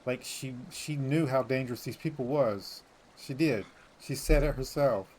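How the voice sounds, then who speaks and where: A man's voice: medium pitch, normal speed, darkish tone, a little hiss or hum, rough recording. A man in casual, colloquial gaming talk, making his points insistently with some mild swearing. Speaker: gaming channel host. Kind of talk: casual gameplay chat about story.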